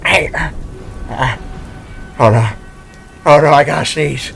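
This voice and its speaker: A man's voice: on the high side, takes it slowly, vocal fry, a bit bright, heavily acted. A man voicing a panicky, whimpering little mechanic in an audio drama, stammering and exclaiming over music. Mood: dreading.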